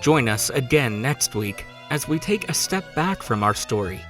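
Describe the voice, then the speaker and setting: A man's voice: mid-range voice, expressive, unhurried, fairly bright tone. A man reading a scripted outro as the announcer of an audio drama podcast. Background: music.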